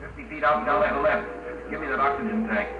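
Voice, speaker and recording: An actor playing a brave, brisk, decisive astronaut on a spacewalk in 1950s radio drama style. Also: male voice, medium pitch, close to an everyday voice. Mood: urgent. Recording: echoey, distant, muffled radio, loud music.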